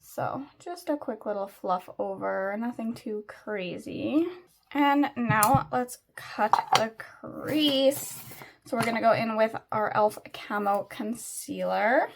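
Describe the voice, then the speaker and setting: Chatty young woman, slightly raspy. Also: on the high side, a little hiss or hum. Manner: chatty, rambling with many fillers. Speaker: beauty vlogger. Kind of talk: casual chat while doing makeup.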